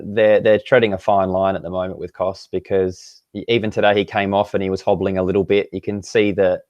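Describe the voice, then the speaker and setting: A man co-hosting a football podcast, giving measured, analytical opinions in a casual chat. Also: low-pitched, darkish tone, no room sound, muffled recording. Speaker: podcast co-host.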